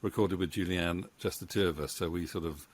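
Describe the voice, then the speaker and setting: Low-pitched man, rambling delivery. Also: fairly even intonation, a little fast. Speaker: interviewer, writer-producer. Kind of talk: casual interview chat. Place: indoor home room with bookshelves. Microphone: studio microphone on boom arm, close.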